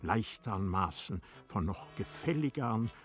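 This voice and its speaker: A man reads literary narration for a radio play in a measured, formal way. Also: lowish voice, animated, faint music behind.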